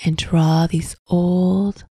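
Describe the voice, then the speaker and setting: A woman narrating a scripted guided visualization in a soft, breathy, soothing voice, with deliberate phrasing and long pauses. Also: fairly low pitch, flat delivery, no echo, studio quality. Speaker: guided meditation host.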